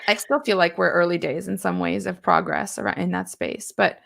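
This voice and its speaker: Woman interview host, creaky-toned, eager and conversational. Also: on the low side, steady tone, a little fast, fairly bright tone. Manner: enthusiastic, chatty, many likes and ums.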